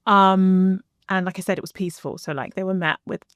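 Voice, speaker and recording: Young UK woman, interview guest, chatty storyteller, middle pitch, dry close mic. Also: fairly quick, no echo. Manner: animated storyteller, many fillers like "like" and "um". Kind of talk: casual conversational interview.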